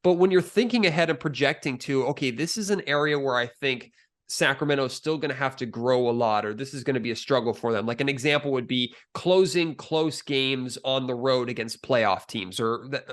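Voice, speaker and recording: A man's voice: medium pitch, a little fast. American man hosting a sports podcast. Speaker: podcast host.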